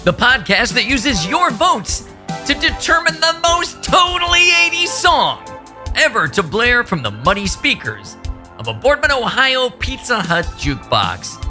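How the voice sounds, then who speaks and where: A man from the American Midwest hosting a casual podcast, upbeat and quick to laugh. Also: very high voice, huge pitch swings, bright, forceful tone, faint music behind. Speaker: podcast host.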